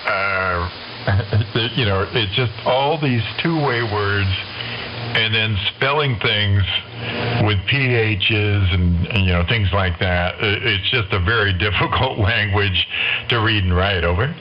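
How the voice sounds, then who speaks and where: A man in a relaxed, chatty exchange as an amateur radio operator, heard over a shortwave link. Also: on the low side, slow. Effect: shortwave radio transmission. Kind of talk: casual rag-chew radio chat. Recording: muffled, hissy amateur radio signal.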